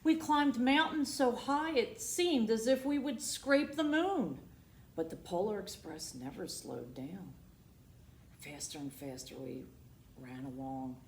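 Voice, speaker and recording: A woman hosting a storytime, reading aloud expressively for children and dropping in asides, moving between hushed and emphatic delivery. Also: low-pitched, measured pace, gravelly. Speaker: library storytime host. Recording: roomy echo with faint background noise.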